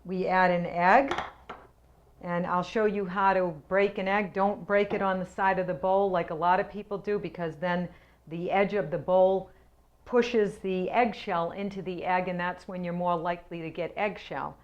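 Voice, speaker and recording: A woman's voice: fairly low pitch, steady tone, moderate pace, highs cut off. Chatty woman hosting a home cooking show. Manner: chatty, homey, explains each step. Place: home kitchen.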